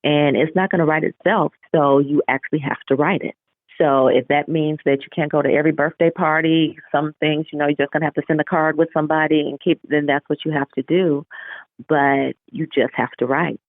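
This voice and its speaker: Over a narrow, phone-like connection, a woman author chats casually in an interview. Her voice sits at a low-to-middle pitch for a woman, with little room sound. She talks in an animated way, slipping into little re-enactments of what people say. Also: fairly quick, no room sound. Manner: animated, acts out remembered remarks. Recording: narrow phone-like line, radio-show sound.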